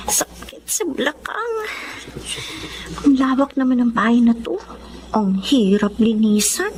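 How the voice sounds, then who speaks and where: An actress playing a hardworking, bitter young domestic worker abroad in an emotive Tagalog radio drama. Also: female voice, mid-range voice, noisy background, close to an everyday voice. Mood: weary grumbling. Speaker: drama actor.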